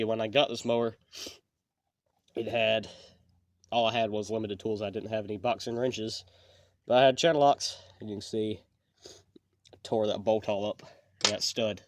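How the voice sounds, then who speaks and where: A man's voice: on the low side, steady tone, normal speed, long pauses. Folksy man, sniffly, noisy backdrop. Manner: plainspoken, folksy, step-by-step. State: sniffly from allergies. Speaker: channel host. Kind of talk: casual how-to repair walkthrough.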